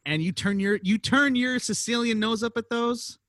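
A man's voice: extremely high pitch, normal speed, dry recording, studio quality. A man co-hosting a casual podcast, joking and teasing his co-host, often repeating phrases. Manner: jokey, animated, repeats phrases.

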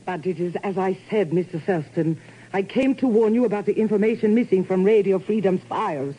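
Actress as husky, earnest spy-story informant woman. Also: medium pitch, fairly quick, dark tone, lightly acted, low-quality recording. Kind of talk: 1950s radio drama acting.

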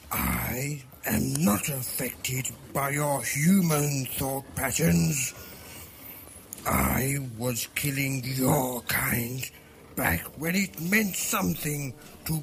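A British man voicing a huge, menacing slug-like alien crime lord, with a slow, threatening guide-voice delivery. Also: mid-range voice, vocal fry, fairly bright tone, slight background noise, heavily acted. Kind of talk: guide-voice recording for a film puppet.

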